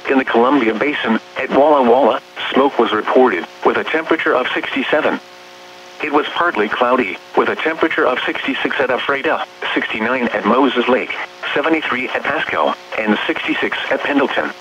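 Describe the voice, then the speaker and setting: Synthetic man, weather radio announcer, measured impersonal readout, dull lo-fi reception, steady noise and hum. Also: medium pitch. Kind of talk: automated weather bulletin readout.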